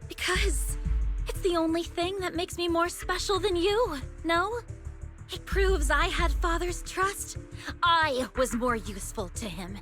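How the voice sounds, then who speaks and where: A woman playing a bitter, grieving young woman in a video game, speaking in a measured, wounded, accusatory way. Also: fairly high pitch, faint music behind. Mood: anguished, resentful. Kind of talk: dramatic video game voice acting.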